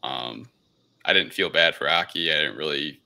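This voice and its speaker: A man with an extremely low voice full of creak chats casually as a podcast co-host. His tone has a very sharp, forward edge, and he phrases things tentatively. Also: normal speed. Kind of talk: casual film review discussion.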